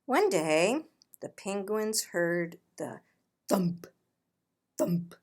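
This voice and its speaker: A woman reading a children's picture book aloud as a storyteller, with deliberate, dramatic phrasing. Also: lowish voice, expressive. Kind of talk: expressive children's read-aloud.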